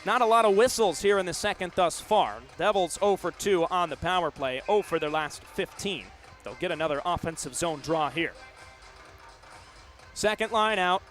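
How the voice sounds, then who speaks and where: A young man in his twenties works as a hockey play-by-play announcer on radio. His voice sits fairly high for a man. He narrates the action live in short, vivid bursts. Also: moderate pace, fairly bright tone, faint music behind. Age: 20s. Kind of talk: live radio sports commentary.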